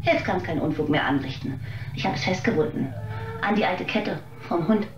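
A woman's voice: lowish voice, a little fast, over music, natural voice. An actress playing a harried, stern serving woman in a radio play, blunt and exasperated. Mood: grim. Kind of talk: German radio drama acting. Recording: dull, noisy sound with ambience.

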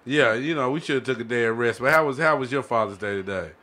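A man's voice: medium pitch, quick pace, pushed and bright. A man hosting a casual podcast with slangy, hype-man banter full of repeated catchphrases, close on a studio mic. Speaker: podcast host. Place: small indoor podcast studio. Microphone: close studio microphone on boom arm.

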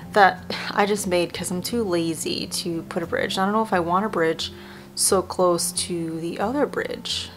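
A young woman talking casually over a game tour in a chatty, rambling way, her voice a bit worn, often dropping to a near whisper. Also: mid-range voice. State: voice a little worn. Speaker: video creator. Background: faint game music.

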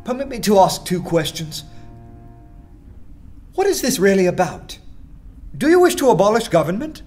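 An actor playing a witty, skeptical poet in a radio play, urbane and ironic. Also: male voice, high-pitched, big pitch swings, normal speed, a little mellow, faint music behind. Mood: teasing, probing. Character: witty skeptical male poet, dryly polite and teasing.

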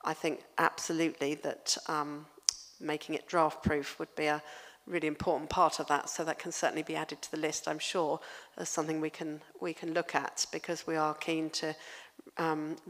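A woman chairing a council meeting, polite and measured with frequent fillers, at a table mic in a large hall. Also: sits low. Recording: reverberant hall.

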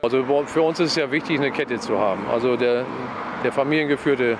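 A man in his fifties answers an interview haltingly, searching for words. His pitch is average, and he is recorded outdoors with clear traffic noise around him. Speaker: butcher business owner. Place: outdoors outside a building. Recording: outdoor street noise, passing cars.

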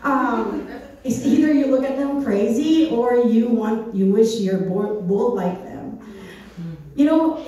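A woman's voice: a little high, mellow. A woman, giving a chatty church message on a small stage and asking the audience questions. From Philippines. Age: 30s. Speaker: church speaker. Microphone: handheld mic, recorded from far back. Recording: echoey hall, distant phone camera.

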